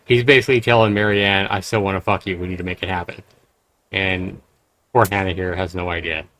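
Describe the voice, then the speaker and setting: A man's voice: low voice, level tone, a little fast, a bit bright, a little hiss or hum. A man streaming a game, reading its text aloud and adding blunt, profane casual commentary.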